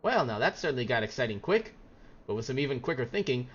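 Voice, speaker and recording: A man's voice: medium pitch, very animated, husky, highs cut off, voice pushed up. Man doing an eager, money-minded game fixer. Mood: eager, boastful. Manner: brisk, self-assured, chatty. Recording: game music behind the voice.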